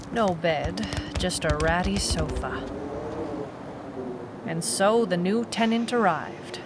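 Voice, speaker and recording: A woman's voice: lowish voice, heavy background noise. A woman playing a wry, world-weary, tough private eye, narrating a hardboiled noir audio drama. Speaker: lead narrator.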